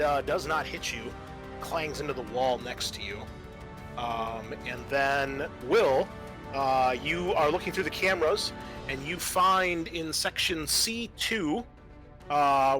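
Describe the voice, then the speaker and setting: Bright-voiced man running a tabletop game. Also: medium pitch. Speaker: game master.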